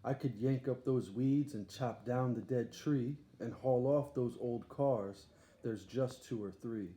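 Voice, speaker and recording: Guy doing a boastful kid ringmaster from a picture book, big showy barking, mellow voice, echoey room. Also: medium pitch, unhurried, lightly acted. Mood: eager, confident. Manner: grandiose ringmaster barking, emphatic lists. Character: eager boastful young boy circus showman. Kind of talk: storybook read-aloud character. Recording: echoey room, faint background noise.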